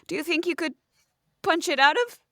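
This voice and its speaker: A woman's voice: high-pitched, fairly even intonation, brisk, pushed and bright, dry recording, studio quality. A woman playing a polite, flustered heroine who speaks hesitantly in improvised audio comedy.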